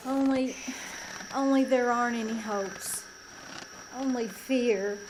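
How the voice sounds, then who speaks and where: A woman playing a fragile, despairing young soldier's wife with a halting, hesitant delivery in 1940s radio drama style. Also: on the high side, steady tone. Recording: live stage recording, background noise.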